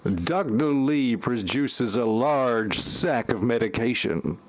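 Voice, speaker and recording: A man giving deadpan scripted narration for a parody audio drama. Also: mid-range voice, takes it slowly, heavy background noise. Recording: prominent music under the voice. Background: music.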